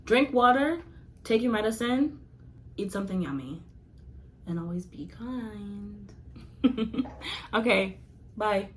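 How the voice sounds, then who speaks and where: A young woman chatting casually to her viewers in a rambling review, laughing often and filling pauses with "like" and "um". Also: mid-range voice, animated. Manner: chatty, giggly, rambling with many fillers. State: losing her voice. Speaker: vlogger reviewing comics.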